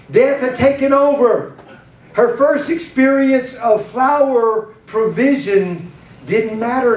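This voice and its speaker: A middle-aged man preaching with lots of energy, his voice sitting high for a man. He moves about a church stage with a handheld mic. The sound is roomy and a little distant, caught from across the hall. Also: slight background noise. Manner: animated preaching, gesturing and pacing the stage. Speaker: pastor. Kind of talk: sermon.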